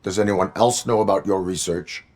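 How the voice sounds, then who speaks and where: Game casting: low-voiced cyborg lawman, stoic, formal, clipped and deliberate delivery. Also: fairly even intonation, a little mellow. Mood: calm, flat. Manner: deliberate, clipped, formal speech without contractions. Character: stoic male cyborg police officer, slow and formal. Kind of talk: video game voice acting.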